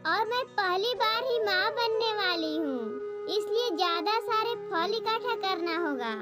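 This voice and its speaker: A tiny female cartoon bird acted by a woman talks in an extremely high, childlike voice. She is chatty and earnest. Loud music runs behind her. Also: expressive, a little slow. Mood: grateful. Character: small female bird, chatty, plain-spoken. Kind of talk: Hindi cartoon moral story acting.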